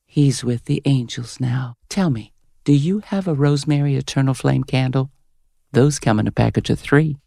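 Gravelly, hard-bitten older radio host. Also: female voice, very deep voice, moderate pace, warm tone, no echo, studio quality, heavily acted. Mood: syrupy on-air. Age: 50s. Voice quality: gravelly smoker's voice. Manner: brash, foul-mouthed, switching to syrupy on air. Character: crude, cynical, hard-bitten 57-year-old female radio host. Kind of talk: audio drama comedy acting.

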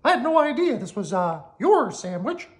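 A man voicing a cheerful, excitable young male mouse in a high, squeaky voice. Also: normal speed. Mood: flustered.

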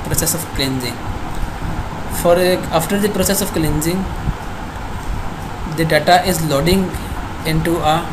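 A young man with a Pakistani accent giving a halting software demonstration in non-native English phrasing. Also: fairly high pitch. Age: young adult. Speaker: student presenter. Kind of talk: screen-recorded software demonstration. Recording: noisy home screencast, steady background hum. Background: constant clear background noise.

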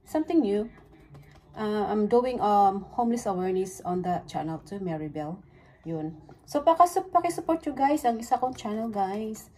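A woman's voice: mid-range voice, lively intonation, normal speed, mellow. A middle-aged woman with a Filipino accent, chatting casually to viewers as a home baking vlogger, mixing two languages as she goes. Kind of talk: casual chat while baking, Tagalog-English code-switching. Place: small home kitchen, indoors. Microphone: phone at arm's length or propped nearby. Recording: clearly noisy home kitchen recording.

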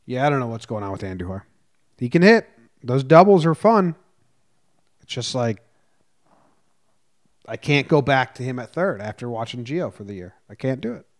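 A man's voice: mid-range voice, big pitch swings, moderate pace, pauses often. A young man co-hosting a podcast, giving short, blunt, skeptical replies in casual sports chat, speaking into a large mic at home. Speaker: podcast co-host. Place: home room, indoors. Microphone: large studio mic close up.

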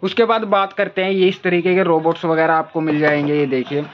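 A man's voice: a little high, level tone, fairly quick, highs cut off, a little hiss or hum. A man hosting a walking tour of a crowded wholesale toy shop, asking the seller for prices and repeating each one back to his viewers. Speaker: market video host.

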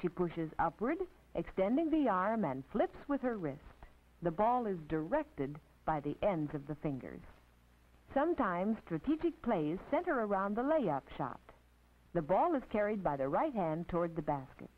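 An American woman narrating an instructional film as a teacher, in a precise, didactic, scripted manner with measured phrasing. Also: on the low side, big pitch swings. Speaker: narrator and physical education instructor. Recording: tinny, band-limited 1950s film soundtrack.

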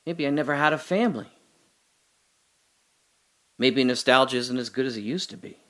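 A man's voice: mid-range voice, normal speed, a bit bright, lightly acted. A lone prisoner in a cell questions who he is and what his memories mean, played by an actor. He talks in an audio drama monologue, musing and searching, wry one moment and earnest the next, with a mid-range male voice. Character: man alone in a holding cell, doubting his memories.

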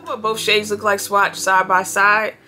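A young woman with a bright, clear voice and a slight sniffle from a cold, chatting casually through a makeup demo. She speaks in a relaxed, friendly way straight to the camera from her bedroom, with soft music underneath. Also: medium pitch, unhurried. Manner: relaxed, chatty, addresses viewers as y'all. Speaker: beauty vlogger. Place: bedroom, indoors, seated before a headboard.

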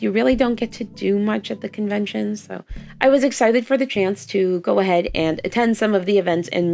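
A young Canadian woman with a hoarse, gravelly voice, chatting casually in a vlog voice-over about her weekend. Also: mid-range voice, a little mellow. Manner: chatty, upbeat recounting. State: hoarse, lost voice. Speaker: doll artist vlogger.

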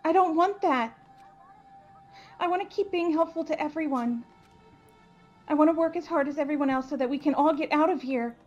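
A woman voicing a gentle, timid but determined boyish AI program in a game fan dub, earnest and hesitant. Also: high voice, normal speed, pauses often, natural voice. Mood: earnest, brave. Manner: hesitant, earnest, soft-spoken pleading. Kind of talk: visual novel fan dub voice acting. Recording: slightly dull, band-limited, music behind.

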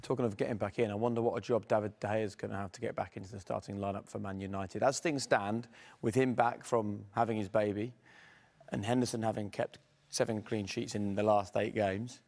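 A man presenting a football studio show, putting brisk prompting questions to his pundits. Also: lowish voice, fairly even intonation, vocal fry, a little mellow. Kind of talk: leading a pundit discussion.

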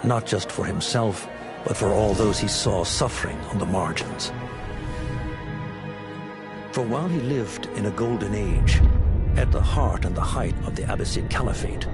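An older leader of a secret order in a video game. His low voice is grave and measured as he narrates like a keeper of old memories, with clear music underneath. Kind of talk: scripted cinematic narration.

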